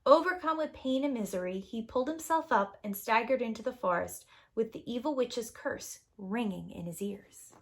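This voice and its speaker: A woman storyteller reading scripted fairy-tale narration to young children with expressive, dramatic phrasing. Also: on the high side, moderate pace, hardly any pauses. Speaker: storyteller, preschool teacher.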